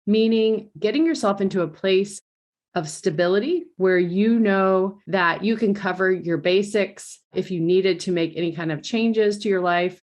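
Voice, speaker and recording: A woman's voice: mid-range voice, a little slow, darkish tone. A woman with a mid-range voice hosts a podcast. She introduces her guest and leads the interview with earnest enthusiasm. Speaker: podcast host.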